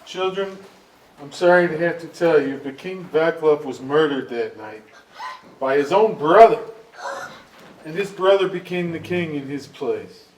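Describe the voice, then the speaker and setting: A man's voice: fairly high pitch, a little slow, a little mellow, natural voice. A man playing a kindly old storyteller, earnest and theatrical, in a staged musical reading. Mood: sorrowful. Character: kindly old storyteller, former royal page. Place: indoor stage at an arts venue. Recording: echoey stage, distant mic, faint noise.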